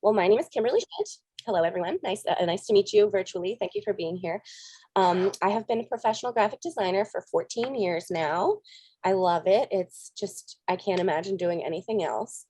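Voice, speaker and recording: A woman's voice: mid-range voice, a little fast, dark tone, slightly rough, no echo. An American woman in her thirties with a slight cold, chatting over a video call as a guest designer, friendly and full of ums. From United States. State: sniffly with a cold. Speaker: guest speaker, graphic designer. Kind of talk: casual video-call chat and talk.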